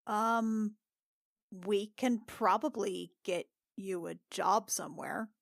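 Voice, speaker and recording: A woman plays a kindly healer woman in a tabletop roleplay. She talks slowly and haltingly, awkward but gentle, recorded close and dry. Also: mid-range voice, gravelly, no room sound. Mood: sympathetic. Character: gentle, awkward female healer who revives the dead.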